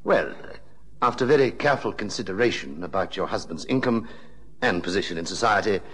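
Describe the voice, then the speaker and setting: Menacing blackmailer, low husky voice, animated delivery, mock-polite, deliberate, radio play. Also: male voice, a little hiss or hum. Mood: smug, coolly menacing. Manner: mock-courteous, deliberate, threatening. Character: menacing, smooth-talking male blackmailer with a violent temper. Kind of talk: radio drama acting.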